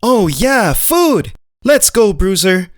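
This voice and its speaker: An actor voicing an eager, wide-eyed, food-loving dog in an animated visual novel story. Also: mid-range voice, slow pace, no echo, studio quality. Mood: delighted. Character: Meatball, excitable, naive, friendly, food-loving male dog narrator.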